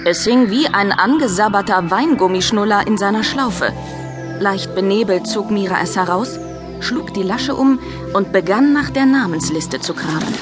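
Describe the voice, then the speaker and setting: A woman's voice: medium pitch, normal speed. Woman narrating children's story over music. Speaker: audiobook narrator.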